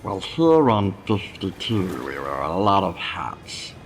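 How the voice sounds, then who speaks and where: An actor playing a folksy, self-assured space station boss with a gravelly, commanding delivery in a noir video game. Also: male voice, low voice, big pitch swings, slow, a little hiss or hum, full character voice. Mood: smug, businesslike. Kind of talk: noir point-and-click game acting.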